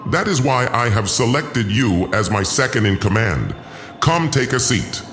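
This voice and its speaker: A man's voice: mid-range voice, sing-song, a little slow, fairly bright tone, soft background music, pitched above the performer's own voice. A man playing an imperious, gloating alien lord in an audio drama, with a pompous, commanding and menacing delivery. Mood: imperious, impatient. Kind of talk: first-person audio drama villain.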